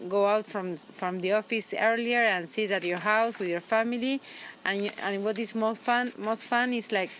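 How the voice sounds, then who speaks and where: A woman's voice: medium pitch, fairly bright tone. Argentine lady, spanish accent, bit hesitant, faint noise behind. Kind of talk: casual interview.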